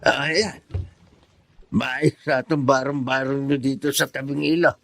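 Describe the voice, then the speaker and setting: A man's voice: medium pitch, steady tone, unhurried, vocal fry, a bit bright, soft background music. A man acting a kindly older neighbour who gives advice in a radio drama. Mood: kindly advising.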